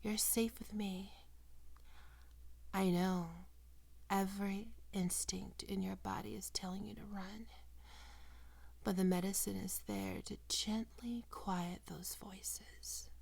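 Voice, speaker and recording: A woman voicing a possessive, predatory vampire doctor in an ASMR roleplay, breathy and often whispering, coaxing her prey with condescending, sensual words. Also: mid-range voice, steady tone, slow pace, darkish tone. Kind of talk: ASMR roleplay, intimate and slow.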